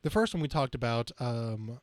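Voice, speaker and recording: A man's voice: medium pitch, lively intonation, moderate pace, dry recording. A man hosting a podcast, chatting casually and loosely about comics and movies. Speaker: podcast host.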